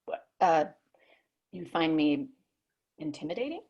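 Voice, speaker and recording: A woman acting as a shy, nerdy, self-effacing character in romantic banter. Her voice is low and husky, and she talks slowly in an awkward, halting way. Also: very animated, a little mellow, highs cut off, exaggerated voice. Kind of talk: table read of a screenplay, romantic banter.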